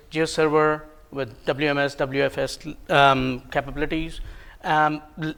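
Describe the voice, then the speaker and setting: Hindi-native guy giving a conference talk, slightly high voice, lots of ums n restarts, some vocal fry. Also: a bit bright. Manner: halting, many ums and self-corrections, light self-deprecating humor. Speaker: conference presenter. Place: conference room.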